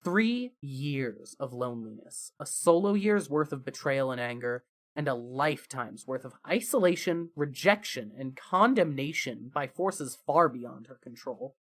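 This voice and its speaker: A narrator reading fan fiction as dramatic scripted storytelling, with emphatic phrases that trail off softly. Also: mid-range voice, expressive, unhurried.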